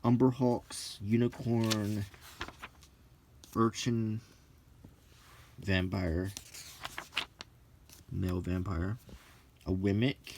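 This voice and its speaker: A middle-aged man hosting a casual, ASMR-like show-and-tell, reading out names in a halting, list-like way with brief musing asides. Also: on the low side, level tone, creaky, background noise. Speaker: video host.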